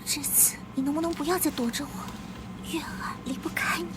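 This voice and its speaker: An actress voicing a spirited young noblewoman in an animated drama, willful and clingy in turn. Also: sits high, moderate pace, whispered, close to an everyday voice. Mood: pleading. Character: spirited young noblewoman, devoted to her brother. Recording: background noise.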